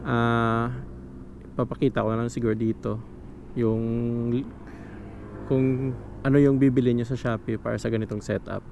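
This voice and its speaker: A man's voice: medium pitch, gravelly. A man vlogging from his motorcycle, chatting casually through a helmet microphone with lots of fillers. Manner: relaxed, chatty, many fillers. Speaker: motovlogger. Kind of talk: casual tutorial vlog. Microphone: helmet microphone into action camera. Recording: wind and engine noise from a helmet mic.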